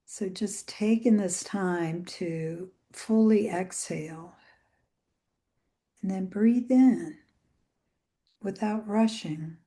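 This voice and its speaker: A woman leading a guided meditation in a gentle, soothing way, with soft phrase endings that trail into breath. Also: mid-range voice. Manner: gentle, soothing, unhurried with long pauses.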